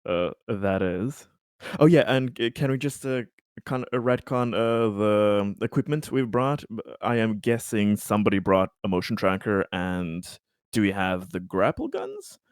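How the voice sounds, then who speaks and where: Male tabletop player, lowish voice, casual conversational delivery, very dry close sound. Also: big pitch swings, a little slow, clear, resonant tone, dry recording. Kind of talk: casual game-table chat.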